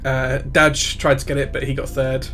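A man's voice: medium pitch, level tone, moderate pace, a bit bright, slight background noise. A man with a cold, sore throat and stuffy nose, chatting casually and tiredly to a live-stream chat from his home desk. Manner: tired, greets chat one by one. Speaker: streamer building keyboards.

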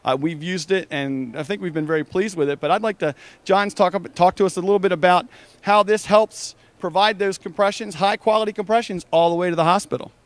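A man with a distinctly high voice works as a podcast interviewer at a trade show. He talks conversationally to his guest and audience, and the sound is close and dry. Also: steady tone, fairly quick, dry recording. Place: exhibition booth at an EMS trade show.

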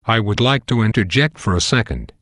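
Synthetic man as animated male host, lowish pitch, formal, explanatory, scripted presenting, dry sound, text-to-speech voice. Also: brisk, no room sound.

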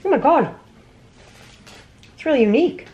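A woman's voice: high-pitched, moderate pace, muffled recording. A woman, chatting casually and emphatically to a handheld camera as a travel vlogger. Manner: expressive, emphatic, informal. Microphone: camera at arm's length. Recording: noisy handheld camera, street and room background.

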